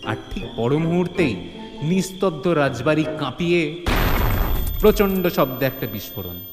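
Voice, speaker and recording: A man's voice: fairly high pitch, big pitch swings, normal speed. A man narrating a comedy-mystery story in a theatrical, suspenseful way, with touches of mock alarm. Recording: long hall reverb, music bed.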